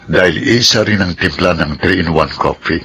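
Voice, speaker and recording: A man's voice: deep voice, normal speed, pushed and bright, slightly rough, exaggerated voice. An actor playing a wary, easily flustered middle-aged Filipino stepfather in a radio soap opera, awkward and polite. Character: flustered, wary stepfather charmed against his will.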